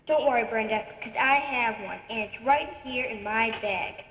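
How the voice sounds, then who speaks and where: A woman's voice: a little high, normal speed, fairly bright tone, slight background noise. Woman doing a patient young blind boy. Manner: patient, explaining. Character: calm, confident blind eleven-year-old boy. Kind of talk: children's puppet show acting. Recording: echoey hall.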